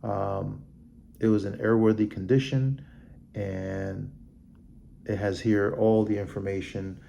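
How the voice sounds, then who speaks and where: Man, lowish pitch, creaky voice, halting report reading, informal asides, background noise. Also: fairly even intonation, dark tone. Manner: halting, stumbling over names and terms. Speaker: vlog host. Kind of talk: reading a report aloud with off-the-cuff comments.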